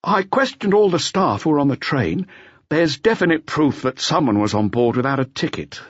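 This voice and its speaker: A man's voice: fairly high pitch, lively intonation, moderate pace, slightly rough, no room sound, lo-fi sound, voice pushed up. A man voicing a solid, dutiful junior detective of about thirty, reporting in a businesslike manner.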